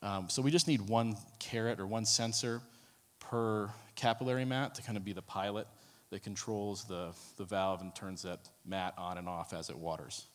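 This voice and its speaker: A man with a low voice presents to a room, speaking casually and filling pauses with ums and false starts. His voice carries a long hall reverb. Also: fairly even intonation. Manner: casual, many ums and restarts. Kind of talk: conference talk. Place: conference room.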